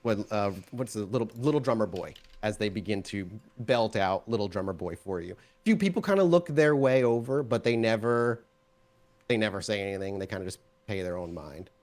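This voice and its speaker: A man improvising tabletop game narration as game master, in a halting, descriptive storytelling manner. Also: on the low side, lively intonation, a little mellow.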